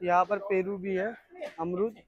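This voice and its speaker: A man's voice: high voice, level tone, measured pace, lo-fi sound, a crowd in the background. A man with a Mumbai Hindi accent doing casual market-vlog commentary, chatty and repetitive, addressing viewers informally. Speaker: vlogger touring a wholesale fruit market. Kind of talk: casual vlog commentary, asking vendors prices. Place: large covered wholesale fruit market. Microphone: handheld phone.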